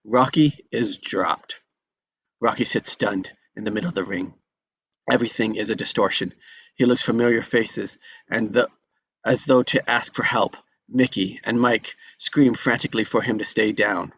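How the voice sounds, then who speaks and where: A man's voice: on the low side, steady tone, normal speed, a bit bright, a little hiss or hum. A man narrating the action of a film script at a table read.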